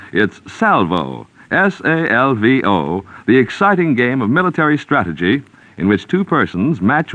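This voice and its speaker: Lively 1940s American radio announcer man. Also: mid-range voice, no room sound. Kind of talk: 1940s radio commercial read. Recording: dull vintage 1940s radio recording.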